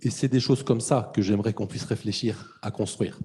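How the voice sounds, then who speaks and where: A middle-aged man moderating a panel discussion, speaking in a measured, reflective way. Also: on the low side, steady tone, dark tone. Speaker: event moderator.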